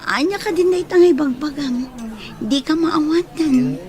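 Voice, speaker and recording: A woman acts out a lovelorn young Filipino woman in an audio drama. Her high-pitched voice is emotional and defensive, that of someone guarded and self-sacrificing. Clear crowd sounds surround her. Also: moderate pace, lightly acted. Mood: defensive, puzzled. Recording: busy crowd sounds behind voice.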